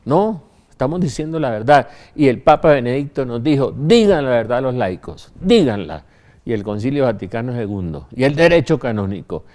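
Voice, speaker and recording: A man's voice: medium pitch, big pitch swings, normal speed, darkish tone. An older man with a Colombian accent giving an informal religious talk in an anecdotal, emphatic manner, often asking rhetorical questions. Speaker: religious speaker.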